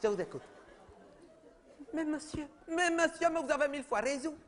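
A man doing a high-pitched, chattering mother. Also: expressive, normal speed. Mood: chatty. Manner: rambling, gossipy, repeats "oui, oui", talks over others. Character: chatty, oblivious middle-aged mother at a school meeting. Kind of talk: comedy sketch monologue.

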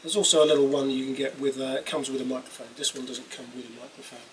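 A middle-aged man talks casually through a product demonstration. His delivery is offhand and halting, at an ordinary pitch. The recording has clearly audible background noise. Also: steady tone, rough recording. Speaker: presenter demonstrating portable PA equipment. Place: small wooden cabin with doors open. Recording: clear background noise, open-room ambience.

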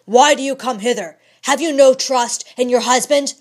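Stern proud scientist husband, read by a woman, slow and formal, old-timey way of talking. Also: mid-range voice, close to an everyday voice. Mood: angry.

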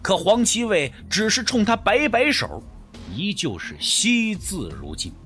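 A man's voice: sits high, very animated, a little slow, a bit bright, soft background music. A man narrating a scripted suspense story as an audiobook, with a storyteller's dramatic phrasing.

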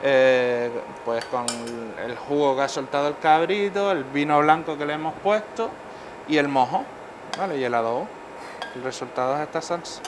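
Middle-aged chef explaining over kitchen noise. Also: male voice, fairly high pitch, expressive, moderate pace. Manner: explains steps, checks in with "vale". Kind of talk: cooking demonstration. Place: professional kitchen. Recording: noisy kitchen ambience.

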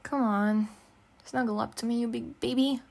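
A woman's voice: on the high side, steady tone, normal speed, gravelly, a little mellow. A young woman speaking in a casual, intimate girlfriend roleplay, teasing, slangy and affectionate. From Eastern Europe. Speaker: ASMR roleplay performer playing a girlfriend.

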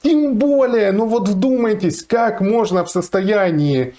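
A man's voice: high voice, measured pace, dark tone. Earnest man giving emphatic commentary. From Ukraine. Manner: earnest, emphatic, argumentative with frequent fillers. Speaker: lawyer. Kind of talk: to-camera commentary. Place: small indoor room, seated in armchair. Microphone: fixed camera, seated facing it.

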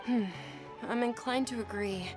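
A woman playing a refined, caring young noblewoman in a video game, polite and measured. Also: fairly high pitch, music in the background. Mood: agreeable. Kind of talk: video game dialogue acting.

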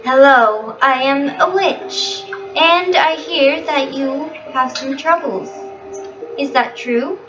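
A woman's voice: high-pitched, slow pace. A woman voicing a helpful, mysterious witch in a game roleplay, questioning and instructing. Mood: questioning, knowing. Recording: muffled, distant mic under loud music.

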